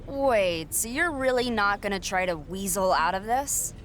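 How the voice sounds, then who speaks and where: A determined wrestling challenger with a very animated delivery. She is sarcastic and confrontational, in video game cutscene style, over faint background noise. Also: medium pitch, measured pace. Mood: skeptical, sarcastic.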